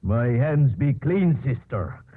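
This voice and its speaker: A man's voice: medium pitch, very animated, takes it slowly, slightly rough, exaggerated voice. An actor playing a simple, hot-tempered workman devoted to the sisters, in 1950s radio drama style. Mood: pleading. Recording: tinny, band-limited 1950s radio recording.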